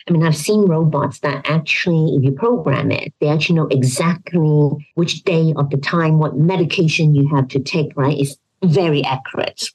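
A woman's voice: sits low, a little mellow, breathy edge, low-quality recording. A woman explaining her views as an expert interview guest, opinionated and emphatic, often posing rhetorical questions. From Hong Kong. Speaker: expert guest, health policy professor. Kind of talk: interview answers, explanatory talk.

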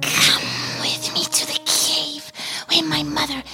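A woman voicing a small, earnest young male snake in a folktale radio play, in a slow breathy whisper. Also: high-pitched, flat delivery, heavily acted. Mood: secretive. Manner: slow, hushed delivery. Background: music.

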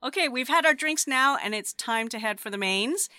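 A woman's voice: on the high side, expressive, moderate pace, pushed and bright, no room sound, clean studio sound. A woman co-hosting a show, giving upbeat scripted links. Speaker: podcast co-host. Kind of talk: scripted narration.

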